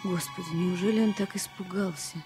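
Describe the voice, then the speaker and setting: An actress playing an earnest, worried woman in love in a radio drama, confiding in a hushed, halting way. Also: fairly low pitch, steady tone, over music, lightly acted. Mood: hurt, anxious. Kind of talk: radio play acting, 1970 Soviet radio drama.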